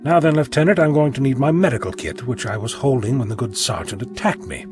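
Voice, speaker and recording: A man's voice: medium pitch, a little fast, a little mellow, faint music behind, natural voice. A man playing a flippant, articulate, unflappable eccentric time traveller in an audio drama. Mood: placating, reassuring.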